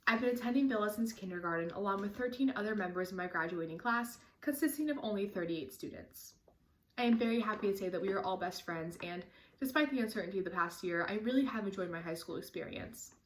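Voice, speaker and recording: A woman's voice: medium pitch, expressive, fast talker, reverberant, slight background noise. A teenage girl earnestly reading a prepared personal statement for a scholarship application. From United States. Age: teens.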